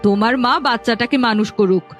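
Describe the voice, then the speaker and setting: A woman playing a sharp-tongued mother who dotes on her spoiled daughter, in a melodramatic cartoon fairy-tale style. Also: mid-range voice, fairly even intonation, fast talker, lo-fi sound, lightly acted. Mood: annoyed, harsh. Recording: faint background music.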